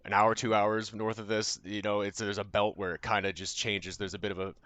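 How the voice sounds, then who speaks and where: A young Canadian man with a Canadian accent talks in a low-pitched voice, rambling loosely with lots of fillers and offhand swearing. The sound is dry and close, with no room around it. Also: level tone, a little fast, a bit bright, smooth, no room sound. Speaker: streamer. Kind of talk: casual chat with viewers while gaming.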